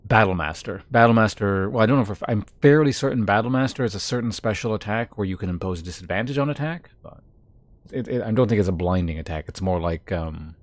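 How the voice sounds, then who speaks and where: A man streaming a game, chatting casually with viewers and thinking aloud in a halting way. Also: fairly low pitch, dry recording. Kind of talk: casual live-stream chat.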